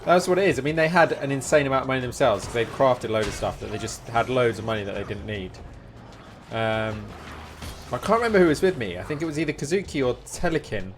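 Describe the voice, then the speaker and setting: A man's voice: mid-range voice, quick pace, slight background noise. A man chatting casually and replying to viewers as he live streams a game. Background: music.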